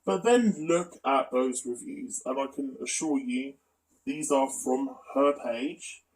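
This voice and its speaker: A man's voice: mid-range voice, slow, poor audio. A man giving a critical, matter-of-fact spoken commentary, presenting evidence as in an online exposé.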